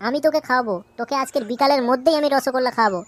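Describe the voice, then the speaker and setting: A woman's voice: high-pitched, racing, natural voice. A woman voicing a brash, cheeky, argumentative trickster in a Bengali comedy cartoon, with a coarse way of talking. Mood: indignant. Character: brash cartoon trickster and naive shopkeeper's child.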